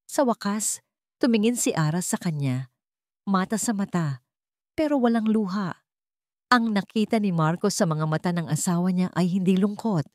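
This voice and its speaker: A woman's voice: lowish voice, a little mellow, no echo, clean studio sound. A woman with a Filipino accent narrating a scripted dramatic story. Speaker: audiobook narrator.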